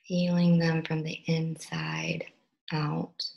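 A woman with a slightly low voice leads a guided meditation. She speaks softly and gently, giving soothing instructions in short, unhurried phrases. Long pauses sit between her phrases. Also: monotone, vocal fry, muffled recording. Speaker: meditation teacher.

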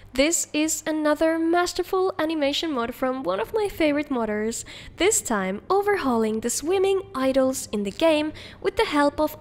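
A woman hosting a video, reading scripted narration in an upbeat, enthusiastic presenting manner. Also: high voice. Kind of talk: scripted narration of game mod showcase.

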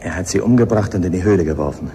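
An actor playing a probing, methodical police inspector in a German radio play, speaking deliberately. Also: male voice, sits low, mellow, lightly acted. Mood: grave, certain. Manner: questioning, deliberate, hesitant openers. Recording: clear background ambience noise.

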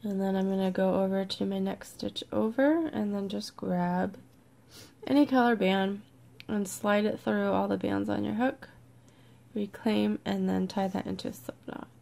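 A woman with a croaky, congested voice leads a step-by-step craft tutorial in a relaxed, chatty way, sometimes dropping to a near-whisper as she counts stitches. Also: medium pitch, normal speed. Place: indoors at a worktable, overhead camera on hands.

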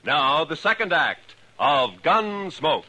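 A man's voice: on the high side, very animated, slow, rough recording. An American man announcing in a formal 1950s radio style.

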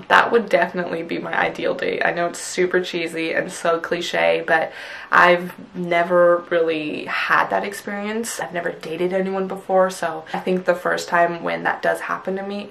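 A woman's voice: fairly low pitch, gravelly, a bit bright, a little hiss or hum. A woman in her twenties whose first language is Punjabi, chatting to camera in a small bedroom, gushing and full of "like" fillers. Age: 20s. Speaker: vlogger. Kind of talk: casual chat to camera.

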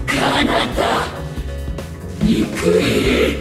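A woman's voice: extremely slow, loud music behind the voice. A woman voicing a giant evil monster in a video game, snarling hatefully in a distorted growl.

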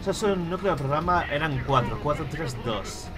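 A man's voice: fairly high pitch, expressive, moderate pace. A young man from Spain commentating on his own gameplay at home, swearing freely and muttering to himself as he plays, on a headset mic. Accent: Spain Spanish. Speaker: streamer. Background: game music.

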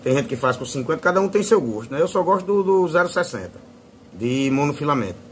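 A man's voice: a little high, sing-song, fast, slight background noise. A man with a Northeastern Brazilian accent giving a casual, informal hands-on tutorial as a fishing channel host. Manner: informal, fond of diminutives.

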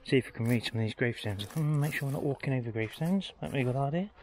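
A man's voice: mid-range voice, rapid-fire, slight background noise. A man giving casual, informal vlog commentary, thinking aloud as he walks outdoors. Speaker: travel vlogger. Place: outdoors, walking around a village churchyard.

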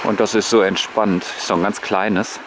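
Guy vlogging on a bike ride, really low voice, chatty and relaxed, noisy outdoor sound. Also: normal speed. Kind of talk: casual running commentary to viewers. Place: outdoors on rural paths and waterside. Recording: noisy outdoor recording with passing vehicles.

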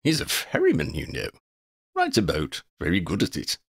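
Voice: sits low, huge pitch swings, moderate pace, gravelly, breathy edge, no echo, studio quality, exaggerated voice. A man voicing a gangly, muddle-headed wandering vagabond in a storybook, with quaint old-fashioned phrasing and a rambling, easily distracted manner. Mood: airy, rambling.

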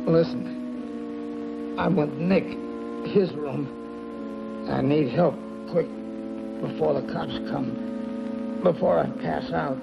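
An actor playing a badly beaten, desperate gangster, gasping in pain in a 1950s television crime drama. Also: male voice, a little high, creaky, heavily acted. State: gasping, in pain, near passing out. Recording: old muffled TV film soundtrack, loud music.

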